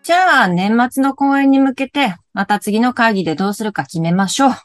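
A woman's voice: fairly high pitch, animated, brisk, no room sound, clean studio sound, faint music behind, close to an everyday voice. A woman playing a pushy, eager young party planner. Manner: insistent, cheerful, persuasive. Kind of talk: radio drama acting.

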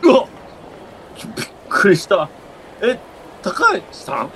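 Guy playing a fussy exasperated pal in a radio play, slightly high voice, pitch jumps all over. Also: huge pitch swings, gravelly, fairly bright tone, a little hiss or hum, exaggerated voice. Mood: startled, baffled. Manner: exasperated, quick retorts. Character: fussy, exasperated straight-man friend, an adult man. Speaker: guest acting in a radio drama. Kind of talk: scripted comedic radio drama dialogue.

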